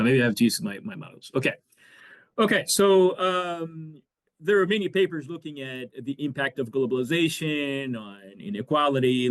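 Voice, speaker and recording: A man in his forties from Brazil, an economics professor giving an academic lecture over a video call, with frequent fillers and restarts. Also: mid-range voice. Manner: hesitant, many fillers and restarts. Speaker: economics professor, guest speaker.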